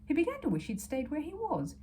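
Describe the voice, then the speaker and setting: Animated, mellow woman reading a children's story. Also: high voice, fast talker, a little hiss or hum. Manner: animated, dramatic, with hushed asides. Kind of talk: expressive children's audiobook narration.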